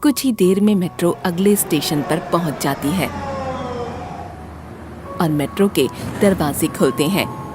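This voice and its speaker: A woman with a fairly low voice tells a horror story. Her scripted narration is dramatic and very lively in pitch, over soft background music. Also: unhurried, long pauses.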